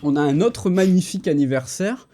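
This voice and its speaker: A man's voice: fairly high pitch, expressive, fairly quick, mellow, no room sound. A man, hosting a casual, slangy pop culture livestream chat with enthusiasm. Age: 30s. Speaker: host. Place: indoor livestream.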